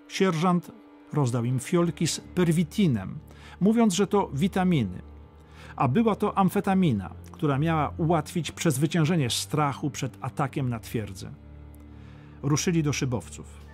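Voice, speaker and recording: A man's voice: a little high, darkish tone. A man reading a scripted, dramatic history audiobook as narrator. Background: music.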